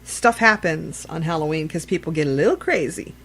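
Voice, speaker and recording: A woman's voice: low-pitched, animated, fairly quick, fairly bright tone, slight background noise, rough recording. A woman co-hosting a casual show, earnestly explaining safety tips at length. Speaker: podcast co-host. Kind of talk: casual chat.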